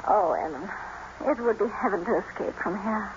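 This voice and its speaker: A muffled vintage radio recording with faint noise of an actress as a willful young gentlewoman. She speaks with proud, theatrical passion and strong emphasis. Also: mid-range voice, expressive, normal speed, close to an everyday voice. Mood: excited, self-satisfied. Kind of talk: 1950s radio drama acting.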